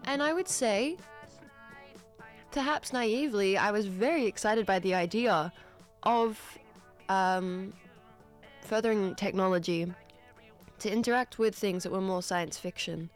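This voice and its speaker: A woman's voice: mid-range voice, unhurried, long pauses, faint music behind. A young Australian woman in her twenties from Newcastle, hosting a radio show in a studio, chatting casually and upbeat between tracks with frequent ums and asides. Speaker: radio host. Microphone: studio microphone.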